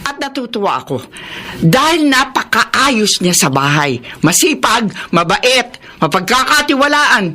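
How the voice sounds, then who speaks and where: Older Filipina matriarch, high piercing voice, animated intonation, stern, reproving, radio drama. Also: female voice, unhurried, exaggerated voice. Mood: firm, approving. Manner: firm, reproving, emphatic. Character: stern, indignant older mother of grown children.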